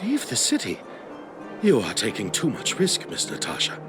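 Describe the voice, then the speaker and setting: A man's voice: mid-range voice, wildly swooping pitch, normal speed. A man playing an anxious older family retainer in a video game, courteous, apologetic and hesitant. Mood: alarmed, worried. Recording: loud game music behind voice.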